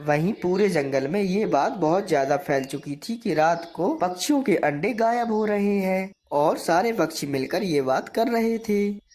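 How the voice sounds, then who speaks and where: Female narrator, mid-pitched, scripted storytelling for a children's cartoon. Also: lively intonation, a little slow, a little mellow.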